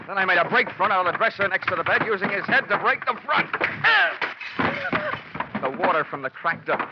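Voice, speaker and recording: An actor playing a cocky, world-weary private detective with a wry, slangy delivery in a classic radio play. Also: male voice, high-pitched, heavy background noise. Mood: forceful, angry. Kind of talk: classic radio detective acting with first-person narration. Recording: muffled old radio recording, music behind.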